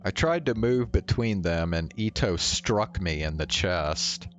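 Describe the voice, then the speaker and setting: Low-voiced, unhurried male game streamer. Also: lively intonation, soft background music. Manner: laid-back, halting, chuckles often. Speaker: livestreamer. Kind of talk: casual chat while streaming a game.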